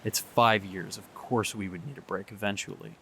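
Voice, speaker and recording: A young man performing a radio drama monologue, halting and sighing as he pleads with an absent partner. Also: on the low side, bright, forceful tone, slight background noise. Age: young adult. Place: beside the sea.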